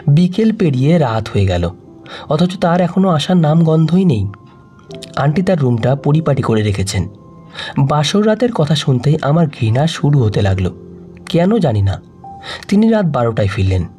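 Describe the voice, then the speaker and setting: A man reads a sad story aloud in a soft, sorrowful voice. His voice sits fairly high for a man and rises and falls widely. The tone is mellow, with faint music underneath. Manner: soft, sorrowful first-person reading. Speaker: audio story narrator. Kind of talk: scripted storytelling narration.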